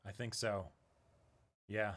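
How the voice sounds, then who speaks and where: A man's voice: fairly low pitch, flat delivery, slow pace, a bit bright. A man talking casually and reacting as co-host of a dramatic reading. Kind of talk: casual reaction chat between read lines.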